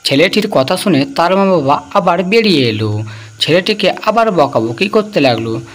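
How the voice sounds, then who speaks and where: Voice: mid-range voice, lively intonation, normal speed. Storyteller with a mid-range voice. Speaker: narrator. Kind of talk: scripted folk-tale narration.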